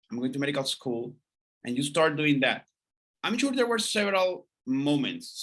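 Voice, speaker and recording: A man hosts an interview and opens each question with eager praise. His voice is bright and forward, and his questions ramble before he reaches the point. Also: mid-range voice. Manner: enthusiastic, asks long winding questions. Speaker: interview host. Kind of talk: hosting an interview series.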